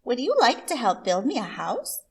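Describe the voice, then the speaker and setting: A woman's voice: on the high side, big pitch swings, moderate pace, voice pushed up. A woman voicing a friendly female possum homemaker from a storybook, speaking in an emphatic sing-song. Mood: friendly asking.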